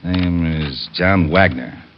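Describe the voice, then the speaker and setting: An actor playing a gruff, world-weary homicide lieutenant with blunt talk, in 1940s radio drama style. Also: male voice, sits low, slow, a little hiss or hum. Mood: matter-of-fact. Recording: muffled 1940s radio recording.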